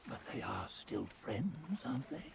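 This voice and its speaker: An actor voicing a stern, judgmental sage in a video game cutscene, speaking in measured, archaic, sententious phrases. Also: male voice, medium pitch, big pitch swings, gravelly, in a whisper, full character voice. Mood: solemn, musing. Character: stern, judgmental sage narrating old sins.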